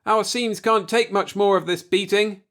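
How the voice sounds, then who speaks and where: A man's voice: high voice, steady tone, moderate pace, fairly bright tone, pitched above the performer's own voice. A man voicing a wry, hard-drinking spaceship captain in an audiobook, emphatic and commanding. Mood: urgent yelling.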